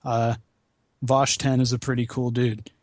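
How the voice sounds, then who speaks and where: A man talks casually as a guest on a gaming podcast, recorded close and dry. His voice sits at a middle pitch, and he swears freely in a wry, self-deprecating way. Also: flat delivery, measured pace, darkish tone, no room sound. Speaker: podcast guest, video game marathon founder. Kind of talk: casual podcast chat about video games.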